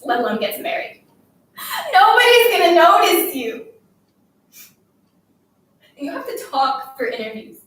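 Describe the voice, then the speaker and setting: A woman plays a bullying schoolgirl in a dramatic story, scolding and demanding with desperate, scornful force. The voice is high. It sounds distant from the mic in a very echoey room. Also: a bit bright, slight background noise. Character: bullying schoolgirl, taunting, desperate, commanding a silent classmate. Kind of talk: dramatic literary reading.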